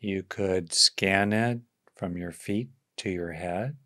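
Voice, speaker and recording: A man with a fairly low voice leads a guided meditation. He speaks very slowly, offering gentle instructions in short phrases and leaving long pauses between them. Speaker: meditation teacher.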